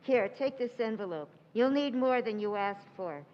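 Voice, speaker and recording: A woman's voice: a little high, animated, moderate pace, muffled recording, natural voice. A woman playing a bad-tempered old aunt in old-time radio drama style, curt and scolding. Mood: cross, curt.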